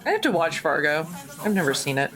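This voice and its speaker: A woman's voice: sits low, very animated, fast talker, a bit bright. A woman playing a tabletop game, speaking in a casual, conversational style.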